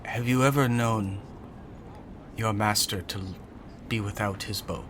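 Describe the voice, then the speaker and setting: A man playing a grave fiend-hunter in a fantasy audio drama, speaking in a halting, deliberate way. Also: on the low side, steady tone, background noise, lightly acted. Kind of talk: actual-play audio drama acting.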